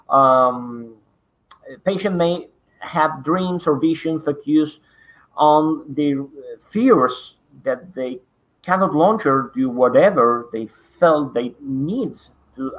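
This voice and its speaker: A man whose first language is Spanish gives an online conference lecture in English. He hesitates often, filling pauses and repeating words. His voice sits at an ordinary pitch, and the call audio is a little dull. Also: fairly bright tone. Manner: halting, many fillers and repeated words. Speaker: conference presenter.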